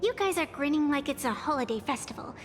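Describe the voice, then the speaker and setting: A woman's voice: high-pitched, animated, fast, noisy background. An actress playing a bold, teasing young woman in a video game. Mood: wary. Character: spirited young woman, cheeky and outspoken. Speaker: game character. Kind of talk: game voice acting.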